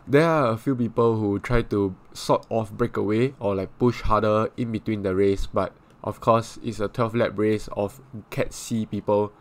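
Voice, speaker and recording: A man's voice: on the low side, fairly even intonation, moderate pace. A young man from Singapore with a Singaporean English accent, chatting loosely through his cycling vlog with plenty of fillers. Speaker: cycling vlogger. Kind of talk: casual vlog narration. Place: outdoor cycling track on a hot morning.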